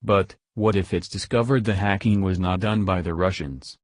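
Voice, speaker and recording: A man's voice: deep voice, normal speed, dry recording. A man reading scripted political news as a voice-over narrator.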